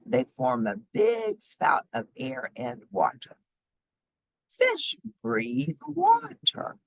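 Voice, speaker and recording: A woman reading a children's nonfiction book aloud in an expressive, emphatic, teacherly way, pausing for short explanatory asides. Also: rumbling low, breathy edge, no room sound, poor audio. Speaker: read-aloud host.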